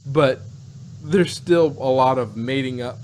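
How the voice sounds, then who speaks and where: A man co-hosting a casual chat, rambling and halting as he restarts and repeats his phrases. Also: medium pitch, darkish tone. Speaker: podcast co-host. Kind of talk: casual chat, reviewing sci-fi. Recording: noisy home recording with hum.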